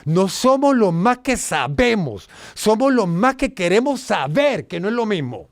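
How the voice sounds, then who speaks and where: Puerto rican guy hosting, kinda high voice, swoops all over, dry close sound. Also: a little slow, dry recording. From Puerto Rico. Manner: animated, emphatic, swings between low asides and loud exclaims. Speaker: host. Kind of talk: casual educational talk.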